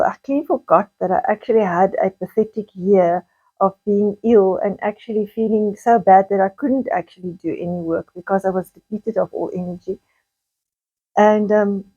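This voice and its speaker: A South African woman vlogger talks in a medium-pitched, slightly croaky voice. She chats casually and rambles, often repeating words and wandering off into asides before returning to her point. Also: warm tone, highs cut off. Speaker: video blogger. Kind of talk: casual chatty vlog monologue.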